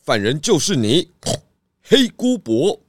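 An actor voicing a calm, confident detective pig who explains the case in a children's radio drama. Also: male voice, on the high side, slow pace, very noisy. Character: calm clever pig detective, male adult. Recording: music bed under voice.

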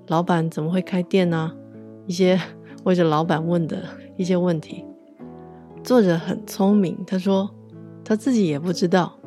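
A woman's voice: on the low side, mellow. A woman with a Taiwanese accent hosting a podcast and narrating a scripted story.

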